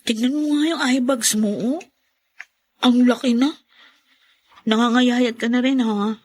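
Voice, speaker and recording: A young woman with a Filipino accent playing a caring younger sister in a radio drama, gently fussing with concern. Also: on the high side, steady tone, measured pace. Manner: soft-hearted, concerned.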